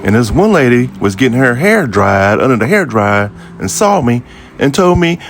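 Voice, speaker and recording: A man's voice: medium pitch, sing-song, moderate pace, gravelly, a little hiss or hum. A man in his fifties with a Southern American accent, telling a personal story casually and talking straight to his viewers in a folksy, conversational way. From Jacksonville, United States. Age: 50s. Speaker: vlogger. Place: outdoors by an old building near a highway.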